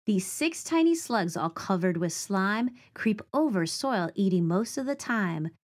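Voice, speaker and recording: A woman reading a picture book of rhymes and nature facts aloud to children, with expressive, clearly phrased delivery. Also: medium pitch, a little slow, noisy background.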